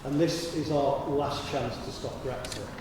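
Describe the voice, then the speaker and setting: A man giving an assertive, brisk campaign speech as a candidate at a hustings in a large church hall. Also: medium pitch, steady tone. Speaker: parliamentary candidate. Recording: distant, echoey hall with background hiss.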